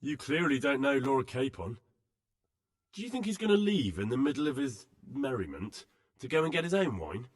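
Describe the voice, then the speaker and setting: A man's voice: mid-range voice, very animated, normal speed, close to an everyday voice. A man playing a plucky young servant in a video game, cheeky yet deferential to his lord. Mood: cheeky, insistent. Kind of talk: video game cutscene acting.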